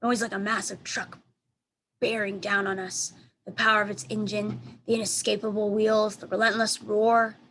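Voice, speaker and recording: A woman's voice: mid-range voice, little pitch movement, moderate pace, a bit bright, poor audio. Woman reading a storybook over background noise. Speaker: elementary school teacher reading aloud. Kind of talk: expressive storybook narration.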